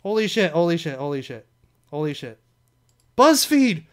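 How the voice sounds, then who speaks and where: Casting: young Midwestern guy, casual vlog host, rambling and self-correcting, a touch high-pitched. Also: sing-song, moderate pace. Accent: Midwestern American. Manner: rambling, self-correcting, swears, laughs at himself. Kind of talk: casual solo commentary, reading quiz items aloud.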